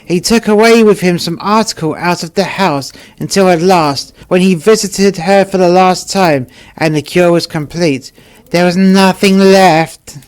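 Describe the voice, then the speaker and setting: A man reading short fables aloud as a scripted storybook narration. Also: sits high.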